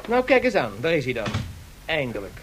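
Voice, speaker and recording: A harried, excitable aide in a vintage radio play. His high voice swings widely and theatrically, over a faint rumble of old recording noise. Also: brisk. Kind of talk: 1970s radio drama acting.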